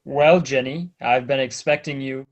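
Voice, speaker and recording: An actor playing a smug, menacing small-time blackmailer in an old-time radio crime drama, talking in taunting slang. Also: male voice, mid-range voice, fairly even intonation, moderate pace, muffled recording, close to an everyday voice.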